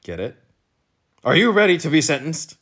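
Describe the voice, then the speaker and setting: A man voicing a short, fierce, bossy policeman who shouts accusations, for a storybook reading. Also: a little high, sing-song, normal speed, breathy edge, voice pushed up, heavily acted. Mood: bossy, shouting.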